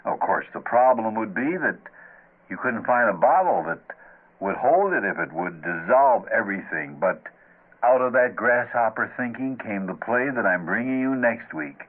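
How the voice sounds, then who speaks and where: A man's voice: fairly low pitch, expressive, moderate pace. A man hosting old-time radio plays with wry, teasing, conversational narration. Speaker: host and writer of radio plays. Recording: slightly dull old radio recording.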